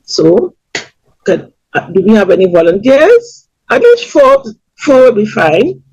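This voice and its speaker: A Trinidadian woman hosts a community webinar, her husky voice swinging up and down in an animated announcer style. The sound is dry and a little dull, like lo-fi video call audio. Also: medium pitch, unhurried, dry recording. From Trinidad and Tobago. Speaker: webinar host.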